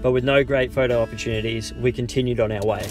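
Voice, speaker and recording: A man, narrating a bushwalk vlog in a calm, steady voice-over. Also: medium pitch, quick pace. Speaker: vlogger narrating a hike. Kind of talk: scripted travel narration. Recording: voice-over with guitar music behind.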